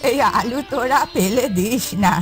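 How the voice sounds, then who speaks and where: A woman's voice: a little high, unhurried, soft background music, natural voice. A woman voicing a poor, hungry, kindly old village woman who pleads and gives blessings in a cartoon. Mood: gently insistent. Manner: pleading, blessing, addresses others as mother. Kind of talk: cartoon voice acting.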